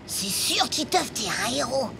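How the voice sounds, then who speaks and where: A blunt skeptical schoolboy sidekick; gravelly edge over background music. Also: female voice, on the high side, very animated, measured pace, heavily acted. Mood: teasing. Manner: teasing, matter-of-fact.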